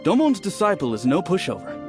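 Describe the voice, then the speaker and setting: A chatty gossiping villager. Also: male voice, high voice, brisk. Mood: gossipy. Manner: storytelling, animated. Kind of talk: video game voice acting. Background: game music.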